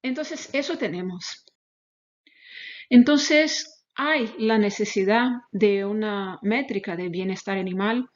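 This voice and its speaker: Non-native Spanish-speaking woman, dull webinar audio. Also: mid-range voice. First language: not Spanish, speaks Spanish as a second language. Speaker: animal welfare researcher, webinar presenter. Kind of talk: webinar lecture with slides. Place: online webinar.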